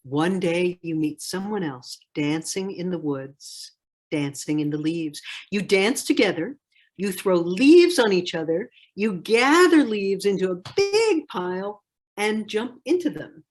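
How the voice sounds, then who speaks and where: A woman reads a picture book aloud in a deliberate, phrase-by-phrase way. Her voice is slightly husky and breathy, moving slowly with very lively swings in pitch. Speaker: storybook reader.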